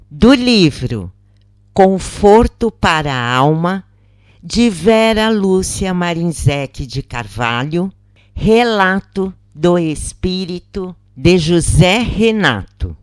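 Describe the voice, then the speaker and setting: A woman with a Brazilian accent reading a scripted story in a measured, deliberate audiobook style. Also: deep voice, a little mellow, no echo, studio quality. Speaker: channel narrator reading a book.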